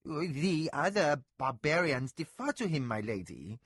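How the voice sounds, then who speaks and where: A frightened, fawning house overseer pleads his case in a thin, high, whining voice, as a man reads him aloud. The pitch leaps around anxiously, and there is a husky grain to it. It sounds close and dry. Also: very animated, a little slow, heavily acted. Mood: aggrieved. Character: anxious male overseer servant, fawning and aggrieved.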